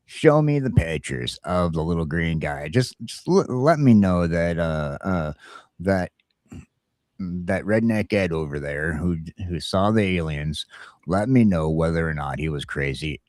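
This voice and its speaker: A man's voice: low-pitched, expressive, unhurried, darkish tone, no room sound, studio quality. A man talking casually as a talk show host, rambling and stammering, often repeating himself for emphasis. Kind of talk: casual morning show chat.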